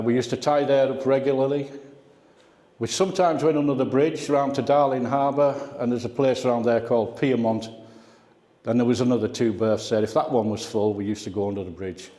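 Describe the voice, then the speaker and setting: An older man speaks as a guest at a club, in an informal, conversational style. His voice is soft-edged and mellow, at a middling pitch. The room is very echoey, with a long reverberant tail around his words. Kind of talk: informal slide talk with personal reminiscence. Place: indoor club meeting room.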